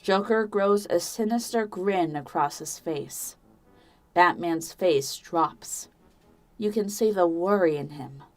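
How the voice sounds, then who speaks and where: A woman's voice: fairly low pitch, slow pace. A woman reading scripted third-person narration for an audio drama. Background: background music.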